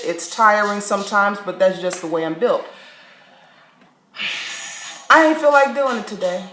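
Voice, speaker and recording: A woman from Chicago telling a story to camera in a candid, wry vlog, breaking into laughs and animated asides. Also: medium pitch. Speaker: vlogger. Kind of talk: casual storytelling monologue. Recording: echoey room, faint background music.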